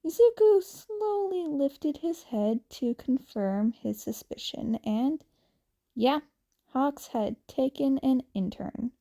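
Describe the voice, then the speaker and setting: A woman's voice: sits high, lively intonation, takes it slowly, warm tone. A woman narrating a fan fiction story as an audiobook reader. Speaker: podfic narrator.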